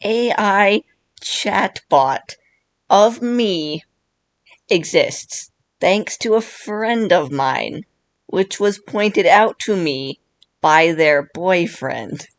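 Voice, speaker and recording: A young woman with a raspy voice from being sick, chatting casually and laughing often as a YouTuber. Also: fairly low pitch, no room sound. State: sick, voice worn. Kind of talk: casual commentary while chatting with an AI chatbot.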